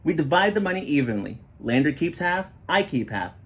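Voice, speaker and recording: Very animated man reading a picture book. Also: fairly high pitch, a little fast. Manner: expressive, acting out children's dialogue. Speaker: reader of a children's picture book. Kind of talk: animated read-aloud storytelling with explanatory asides.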